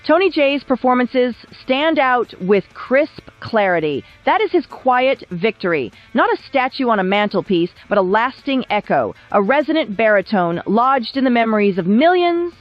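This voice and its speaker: Lively higher-voiced woman narrating a documentary. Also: muffled recording. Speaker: narrator of video essay. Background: background music.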